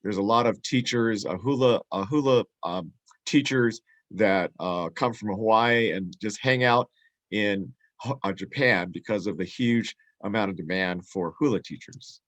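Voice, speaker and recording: A man hosting a casual talk show, excitable and chatty, sometimes halting with fillers when summarizing. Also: lowish voice, no echo. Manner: excitable, laughs easily, swears casually. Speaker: show host and interviewer. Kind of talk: casual chat and interview.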